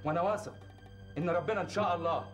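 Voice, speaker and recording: An actor playing a defiant young Egyptian villager in a TV drama, high-pitched and quick, hurling threats at his enemies. A dramatic music score plays beneath him. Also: fairly bright tone, close to an everyday voice. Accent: Egyptian Arabic. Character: defiant young village man, proud and vengeful. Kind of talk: TV drama acting.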